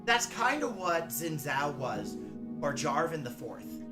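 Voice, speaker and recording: A man giving casual reaction commentary on game lore, informal and halting as he searches for names. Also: fairly high pitch, very animated, over loud music. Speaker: reactor, drag performer and cosplayer.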